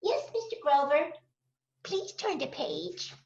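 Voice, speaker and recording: Little storybook monster played by a woman: extremely high, childlike, playful baby talk, hugely animated and stubborn. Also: slow, exaggerated voice. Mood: playfully insistent. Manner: sweetly insistent, third-person baby talk. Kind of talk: animated children's read-aloud. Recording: roomy, dull lo-fi sound.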